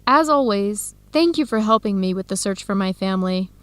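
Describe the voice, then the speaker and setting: A woman playing a warm, curious American researcher in an educational radio drama, speaking in a conversational, inquisitive way. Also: mid-range voice, expressive, normal speed, clear, resonant tone, dry recording, lightly acted. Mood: appreciative.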